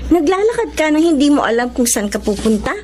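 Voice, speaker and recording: A woman's voice: sits high, sing-song, fast, noisy background. An actress playing a chatty, sympathetic young woman friend in a Tagalog radio drama. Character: chatty, sympathetic young female former classmate.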